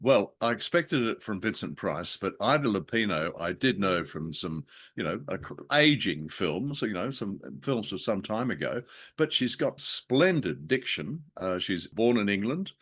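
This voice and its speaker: A man's voice: on the low side, big pitch swings, measured pace, a bit bright, slightly rough. A man co-hosting a podcast, chatting casually and enthusiastically about an old radio play with many fillers. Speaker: podcast co-host. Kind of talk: casual review chat.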